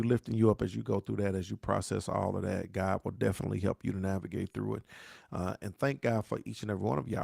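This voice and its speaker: A pastor who talks to viewers in a preachy, repeating way and asks "right?" again and again. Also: male voice, fairly low pitch, vocal fry, a little mellow, dry recording, clean studio sound. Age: 40s. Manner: dialect grammar, says "y'all". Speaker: pastor hosting a grief talk show. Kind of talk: live-stream talk answering viewer questions.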